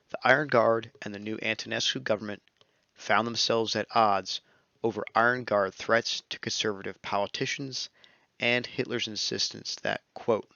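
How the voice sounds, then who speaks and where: A young man reads scripted history narration at a steady, measured pace. His low voice has a gravelly, bright edge. The recording is dry and a little dull, like a lo-fi voice-over mic. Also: no echo. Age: young adult. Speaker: student narrator.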